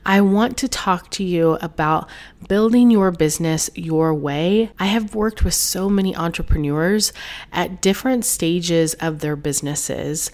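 Congested woman hosting a podcast. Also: lowish voice. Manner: warm, chatty, encouraging. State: congested from a cold. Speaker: podcast host and business coach. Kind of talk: solo podcast talk.

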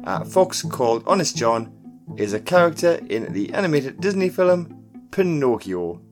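A man hosting a quiz podcast, reading out scripted questions and clues in a deliberate announcing manner. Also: on the high side, big pitch swings. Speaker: pub quiz podcast host. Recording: music bed under the voice. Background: background music.

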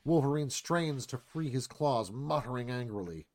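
A man's voice: medium pitch, animated, moderate pace. A man reading scripted stage directions as the narrator of a live radio theatre performance.

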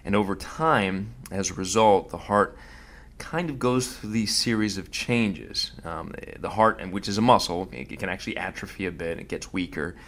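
A man's voice: sits low, normal speed, fairly bright tone. A man hosting a podcast, narrating his visit in a casual, conversational way with fillers and restarts. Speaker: host.

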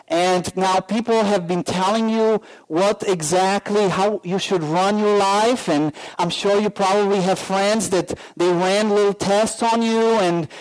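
A pastor preaching a sermon, telling a personal story in a slow, earnest way with many pauses and fillers. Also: male voice, high voice, low-quality recording.

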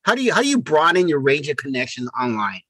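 An older man chats casually as a podcast co-host. His voice is bright and a little high, close and dry. He stammers, doubles back on words and exclaims his points. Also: sing-song, fast, breathy edge, no room sound.

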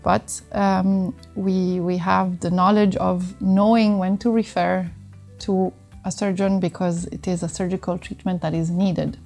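A middle-aged woman with an Italian accent answers interview questions in a soft-edged, mellow voice. She explains things conversationally, pausing to find words, with music playing behind her. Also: lowish voice, flat delivery, vocal fry. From northern Italy. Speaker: interview guest, sports medicine doctor.

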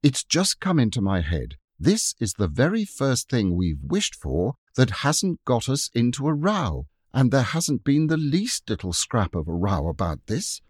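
A man voicing a gentle, thoughtful girl speaking earnestly. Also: mid-range voice, wildly swooping pitch, unhurried, hardly any pauses, no echo, studio quality, voice pushed up.

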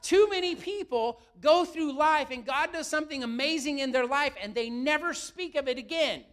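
A middle-aged male pastor preaching a sermon, his voice a little raspy from yelling, often asking the room questions. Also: extremely high pitch, normal speed.

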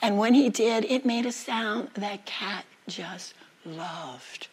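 A woman telling a folktale aloud as a storyteller, with dramatic, deliberate phrasing and pauses. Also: mid-range voice, darkish tone, slightly rough. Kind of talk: live oral folktale storytelling.

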